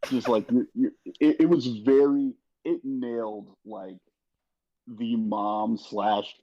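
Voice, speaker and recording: A man in a casual panel chat, talking haltingly with repeated and restarted phrases, over a slightly dull lo-fi connection. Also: medium pitch, fairly even intonation, warm tone. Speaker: guest on an audio drama after-show.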